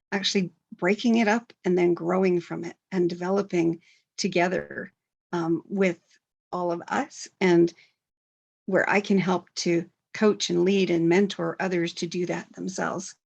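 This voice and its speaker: A woman, a co-author, speaking earnestly on a video call with slow, emphatic phrasing. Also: on the low side, level tone, gravelly, slightly rough, muffled recording. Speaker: co-author at a book launch. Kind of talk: casual online talk.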